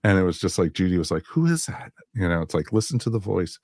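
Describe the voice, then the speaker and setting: Deep-voiced man chatting enthusiastically. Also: sing-song, fast talker, a little mellow, dry recording, clean studio sound. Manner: enthusiastic, repeats phrases. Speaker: podcast host. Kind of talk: casual review chat.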